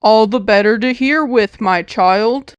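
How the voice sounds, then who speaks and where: Woman doing a wicked storybook wolf, sly and coaxing, slow menacing drawl, fairly high voice, dry sound. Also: clear, resonant tone, no echo, lo-fi sound, natural voice.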